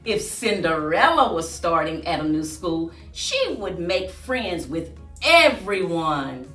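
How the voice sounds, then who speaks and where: A woman reading aloud to children as a storyteller, with an expressive, singsong delivery. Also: on the low side, slow. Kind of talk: read-aloud storytime with rhyming chant. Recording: roomy echo, distant mic, faint music.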